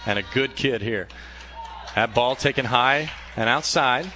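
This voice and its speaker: A man doing radio play-by-play for a baseball game, calling pitches in a steady flow that lifts when a play unfolds. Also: a little slow. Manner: steady calls, rising excitement on plays. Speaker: play-by-play announcer.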